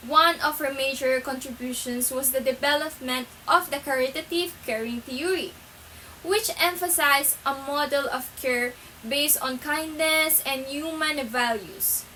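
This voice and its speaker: A woman's voice: high-pitched, sharp tone, rough recording. A young woman giving a scripted class presentation as a student group leader, with noise on the recording. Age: young adult.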